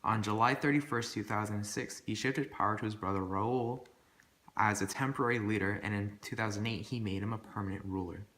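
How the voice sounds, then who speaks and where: Male amateur newsreader, lowish bright voice, scripted bulletin delivery, recorded in an echoey room. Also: a little fast. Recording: echoey room with faint background noise.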